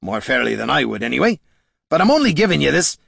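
A man voicing a gruff, shrewd, menacing dwarf adventurer with blunt rustic speech in a fantasy audiobook. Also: medium pitch, sing-song, fast talker, fairly bright tone, dry recording, clean studio sound, voice pushed up, heavily acted. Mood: stern, shrewd.

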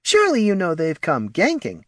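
Small snooty kobold courtier: very high, swooping pitch, airy and condescending audiobook character. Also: male voice, big pitch swings, moderate pace, a bit bright, no room sound, clean studio sound, exaggerated voice. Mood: patronizing. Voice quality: small, thin voice. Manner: airy, patronizing, affected. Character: small, snobbish, foppish male kobold courtier.

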